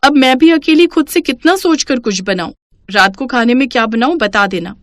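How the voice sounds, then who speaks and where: A woman plays a dutiful, harried housewife and mother in a family story. She speaks in earnest, plaintive questions with animated rises in pitch, recorded very dry. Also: moderate pace, no echo. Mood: hurt, frustrated.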